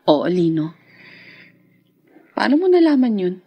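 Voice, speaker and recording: An actress playing a soft-hearted, anxious wife and mother in a Filipino radio drama, tender and hesitant. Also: medium pitch, sing-song, unhurried, breathy edge, exaggerated voice. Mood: affectionate.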